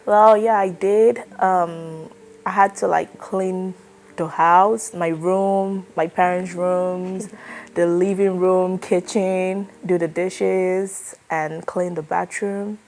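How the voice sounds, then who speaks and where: A woman in her twenties from Nigeria, answering an oral history interview in a relaxed, chatty way with lots of fillers. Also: lowish voice, takes it slowly, a little hiss or hum. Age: 20s. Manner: relaxed, chatty, many fillers like "like" and "you know".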